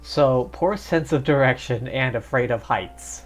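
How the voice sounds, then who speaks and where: A man's voice: mid-range voice, measured pace, soft background music. A man streaming a game, reading lines and making dry, wry remarks. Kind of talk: casual game commentary.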